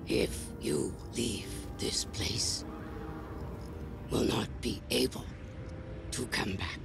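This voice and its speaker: An actor playing a solemn, world-weary alien guardian in a video game cutscene, with a gravelly, breathy voice and halting, sparse phrasing. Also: mid-range voice, full character voice. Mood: grave warning. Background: music.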